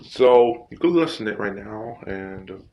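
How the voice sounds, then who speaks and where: A man with a fairly low voice talks through a tutorial in an informal, halting way, often repeating himself. The recording has clear background noise and some clicking. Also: animated, dull audio. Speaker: tutorial host. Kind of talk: casual screen-recording tutorial.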